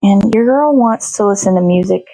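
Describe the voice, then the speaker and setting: A woman's voice: mid-range voice, normal speed, dark tone, poor audio. A woman chatting casually as she cooks in her kitchen, rambling and thinking aloud with little sing-song asides. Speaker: show host. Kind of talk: casual chat while cooking. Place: home kitchen.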